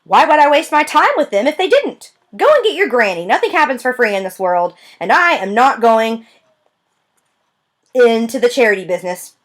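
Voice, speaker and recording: A woman reading aloud, voicing a gruff middle-aged female motel clerk who is curt and dismissive. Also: fairly high pitch, big pitch swings, moderate pace, fairly bright tone, voice pushed up. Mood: scolding.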